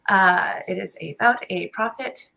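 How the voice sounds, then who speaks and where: A woman's voice: medium pitch, unhurried, creaky, sharp tone. A woman author on a panel giving a casual, unprepared introduction in a hesitant, self-deprecating way. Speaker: debut author, panel guest.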